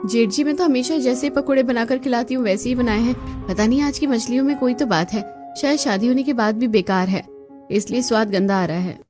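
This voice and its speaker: A woman's voice: fairly high pitch, a little fast, music in the background, close to an everyday voice. Woman acting a sly, polite young cartoon bride. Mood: feigned innocence. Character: young daughter-in-law, outwardly dutiful, quietly sly. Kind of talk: Hindi cartoon story dubbing.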